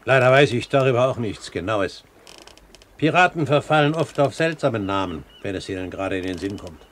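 Actor as a commanding pirate captain, animated delivery. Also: male voice, medium pitch, natural voice. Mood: calm, authoritative. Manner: formal, measured, articulate. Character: self-assured male pirate captain, commanding yet courteous great-uncle. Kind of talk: German radio play acting. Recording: 1970s radio recording, faint hiss.

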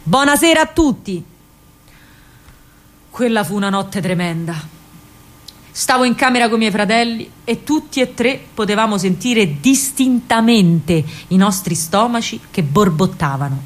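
A woman with a Roman accent performing an expressive, colloquial first-person monologue as a young partisan courier girl in a radio drama. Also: mid-range voice. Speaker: actress narrating her own monologue.

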